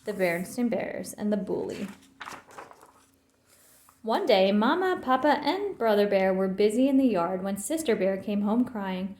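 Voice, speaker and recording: A woman reading a children's story aloud in an expressive, theatrical way. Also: medium pitch, a little slow. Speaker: storybook reader. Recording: roomy, echoing sound.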